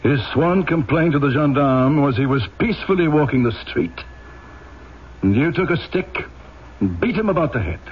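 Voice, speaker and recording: An actor playing a cold, stern, scheming police inspector in an old-time radio drama. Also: male voice, on the high side, lively intonation, normal speed, close to an everyday voice. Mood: stern, insinuating. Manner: clipped, sardonic, domineering. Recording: dull, noisy old radio recording.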